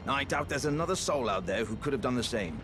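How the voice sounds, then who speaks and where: An actor playing a proud, jovial living warrior jar in a video game, speaking in a grandiose, old-fashioned heroic manner. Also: male voice, medium pitch, expressive, fast talker, noisy background, natural voice. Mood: hearty, admiring. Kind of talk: video game character dialogue.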